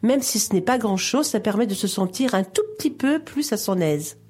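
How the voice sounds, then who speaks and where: Husky-voiced woman reading a column. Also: mid-range voice, expressive, darkish tone, faint music behind. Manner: measured, reassuring, explanatory. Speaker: radio columnist. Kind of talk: reading a scripted opinion column.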